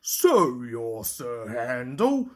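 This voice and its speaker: A woman's voice: very deep voice, big pitch swings, voice pushed down, heavily acted. A woman voicing a rude, proud male steamroller with a haughty manner in a children's storybook. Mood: casual, mocking. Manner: sneering, slow, haughty.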